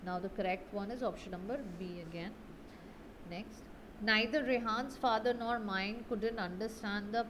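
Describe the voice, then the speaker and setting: A woman's voice: fairly high pitch, low-quality recording. Woman teacher, noticeable background noise. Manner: didactic, often ends points with a checking tag question. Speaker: English grammar teacher. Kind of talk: explaining grammar exercise answers.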